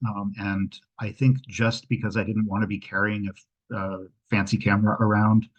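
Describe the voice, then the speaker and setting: Low-pitched man with a husky voice. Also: level tone, moderate pace, highs cut off. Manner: hesitant, thinking aloud. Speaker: travel presenter. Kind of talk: informal question-and-answer after a travel slideshow. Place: indoors at home, on a video call. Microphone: video call microphone.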